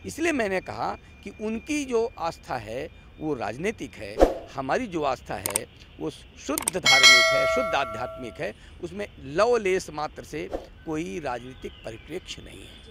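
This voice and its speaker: A man's voice: fairly high pitch, big pitch swings, a little slow, creaky, very noisy. A man giving political commentary as an interview guest, arguing emphatically with repeated phrases and rhetorical questions.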